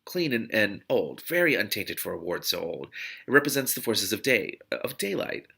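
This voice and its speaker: Earnest female magic crafter, read by a man, careful and solemn, slightly low with gravelly edge, storybook dialogue. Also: big pitch swings, normal speed, bright, forceful tone, full character voice. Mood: awed, explaining. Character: kindly, earnest woman mystic who crafts magic wards. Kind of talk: storybook character reading.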